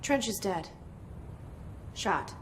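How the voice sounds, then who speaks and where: A guarded, wry new director. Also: female voice, mid-range voice, lively intonation, drawn-out words, in a large hall, background noise. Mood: earnest. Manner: quiet, dry inner monologue.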